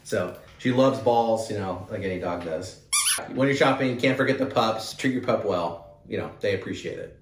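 Young man, low voice, chatty and upbeat, echoey room, mic a few feet off. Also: normal speed, noisy background. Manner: casual, upbeat, lots of fillers. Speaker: channel host. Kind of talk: product haul vlog. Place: indoor room with wood-panel wall. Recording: roomy echo, mic at a distance.

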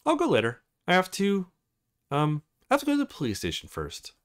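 A man voicing a kind, caring middle-aged mother in a visual novel, with a gentle, motherly manner. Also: high-pitched, huge pitch swings, a little fast, pitched above the performer's own voice, full character voice.

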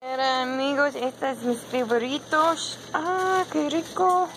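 A young woman, a native English speaker, vlogging slowly and haltingly in learner Spanish while holding her camera at arm's length in a car and in shops. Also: high voice, fairly even intonation, background noise. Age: young adult. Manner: slow and halting, with many fillers. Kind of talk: casual vlog in learner Spanish. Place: car, restaurant, parking lot and grocery stores. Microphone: handheld camera at arm's length.

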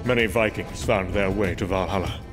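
A man's voice: sits low, fairly quick, over music, close to an everyday voice. A man playing a boastful, self-assured warrior god of thunder, narrating and acting in a dramatized comic book. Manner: grandiose, self-assured, storytelling.

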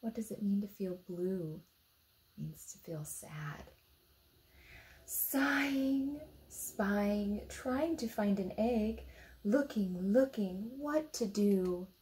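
A woman in her thirties with an American accent, reading a picture book aloud to young children expressively, pausing to ask them simple questions. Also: mid-range voice, expressive, a little mellow, slight background noise, rough recording. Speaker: storytime reader for children. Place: indoor room beside a book display.

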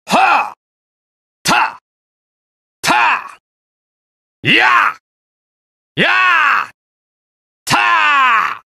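A gruff young masked hero from a video game. He is curt and reluctant yet protective, with slow, deliberate delivery and widely swinging pitch, in a dry, close sound. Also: a bit bright, no echo. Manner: curt, brusque, rough casual speech. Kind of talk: video game voice lines, battle quips.

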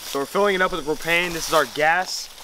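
A man's voice: a little high, fast. A young man presenting a student video in a casual vlog style, outdoors in the woods. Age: young adult. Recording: noisy outdoor camera sound.

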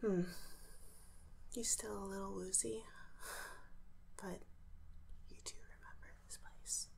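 A woman playing an obsessive, menacing, sickly sweet ex-girlfriend in a breathy, half-whispered ASMR roleplay, taunting her captive with pauses and hums. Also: medium pitch, steady tone, dark tone. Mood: sweetly menacing.

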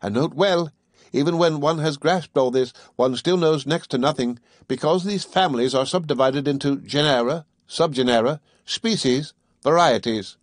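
A man voicing a fussy, pedantic male servant who lectures in a precise, drawn-out way. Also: fairly high pitch, no echo, clean studio sound, pitched above the performer's own voice, close to an everyday voice. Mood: lecturing. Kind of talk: audiobook character voice.

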